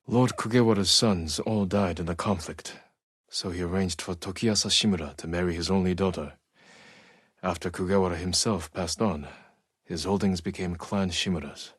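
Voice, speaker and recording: An honorable samurai warrior from a video game, solemn and reflective. His voice is deep and rough-edged, recorded close and very dry. He speaks with restraint, like a stoic man carrying grief. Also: fairly even intonation, no echo. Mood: solemn, earnest. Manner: restrained, solemn, measured delivery. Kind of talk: dramatic video game acting, reflective monologue.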